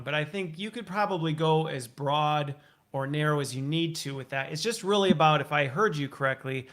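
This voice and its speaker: A man's voice: fairly high pitch, fairly bright tone. A talkative man hosting a conversational interview, thinking aloud, recapping and asking curious questions. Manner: talkative, inquisitive, recaps and checks back. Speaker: show host and interviewer.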